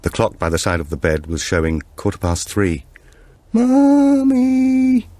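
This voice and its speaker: A man's voice: low-pitched, fairly even intonation, brisk, no room sound. A man reading scripted narration for a thriller audiobook.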